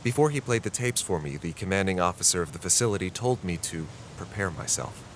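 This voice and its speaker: A man playing a grave, reflective investigator, narrating a radio drama in a solemn, deliberate way. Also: deep voice, expressive, background noise. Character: grave, reflective male investigator narrating Cold War secrets.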